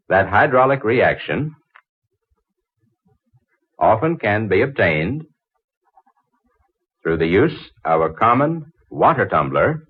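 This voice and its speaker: Awkward earnest inventor, low animated voice, hesitant, persistent, old radio drama, tinny narrow-band recording. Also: male voice, slightly rough, full character voice. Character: eager, awkward, persistent male inventor wooing a librarian.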